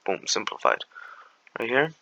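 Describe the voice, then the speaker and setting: A young man with a raspy voice, walking through calculus problems in a slangy, laid-back way and sometimes mumbling steps under his breath. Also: mid-range voice, sing-song, unhurried, sharp tone, highs cut off. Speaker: math tutor. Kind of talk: casual tutoring walkthrough.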